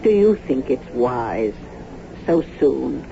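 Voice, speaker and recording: A watchful household retainer, probing and deliberate. The words come slowly in a very soft, dark tone. The old radio drama recording is muffled. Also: male voice, medium pitch, sing-song, vocal fry, slight background noise, exaggerated voice. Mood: cautious, probing.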